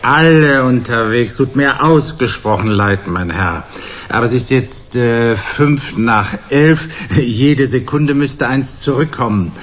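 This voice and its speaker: Casting: fawning, portly shop owner in radio drama, mid-pitched, formal and unctuous. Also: male voice, slow pace, fairly bright tone, dull audio. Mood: obsequious. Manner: oily, over-polite, formal. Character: fat, obsequious male rental shop owner. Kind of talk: German radio play acting.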